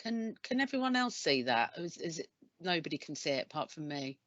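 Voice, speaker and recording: A woman moderating a video meeting, prompting people in a brisk, practical way. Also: sits low, very animated, a bit bright, no room sound, lo-fi sound. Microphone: video call.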